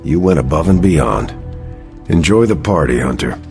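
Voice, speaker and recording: An actor playing an earnest, encouraging leader in a video game, with a sincere and steady manner. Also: male voice, rumbling low, moderate pace, creaky, soft background music, exaggerated voice. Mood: approving, calm. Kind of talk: video game cutscene acting.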